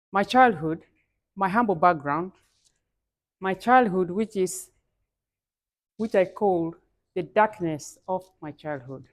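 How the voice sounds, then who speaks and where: A woman with a Kenyan accent speaking as a guest at a live event, in an emphatic style that addresses the audience directly and repeats key phrases. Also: fairly low pitch. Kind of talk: motivational speech.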